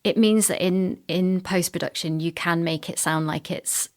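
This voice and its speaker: A middle-aged woman with a fairly low voice talks casually as an interview guest. She explains her production work in a chatty, unscripted way. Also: steady tone, normal speed. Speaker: radio drama producer and sound designer, podcast guest.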